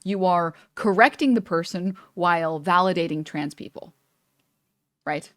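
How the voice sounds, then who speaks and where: A woman's voice: fairly low pitch, unhurried, no room sound, clean studio sound. A young woman streaming, chatting casually with lots of "like" and "you know" as she meanders through her thoughts. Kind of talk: casual reaction stream commentary.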